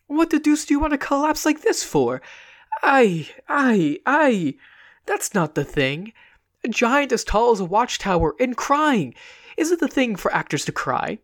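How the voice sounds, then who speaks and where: A woman's voice: on the high side, sing-song, unhurried, pitched above the performer's own voice. A woman voicing a flustered, stammering male comic actor in a storybook reading. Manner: stammering, exasperated. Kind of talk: dramatic audiobook reading.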